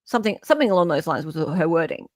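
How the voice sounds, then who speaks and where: A woman's voice: deep voice, expressive, very fast, darkish tone, no room sound, studio quality. A woman with an Australian accent hosting a casual commentary, thinking aloud and rephrasing as she works through details. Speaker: host.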